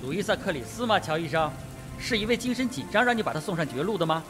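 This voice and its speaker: A man's voice: high voice, sing-song, fast talker, music in the background. A man playing a sardonic, self-possessed colonel in a Mandarin radio mystery, with a mocking, provocative manner. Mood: taunting. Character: sardonic, self-possessed colonel suspected of murder, needling the others.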